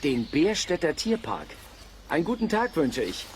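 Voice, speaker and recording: A man's voice: fairly high pitch, big pitch swings, normal speed, heavy background noise. A man acting a skeptical, self-assured forest dog owner in a children's radio play, dry and dismissive in manner.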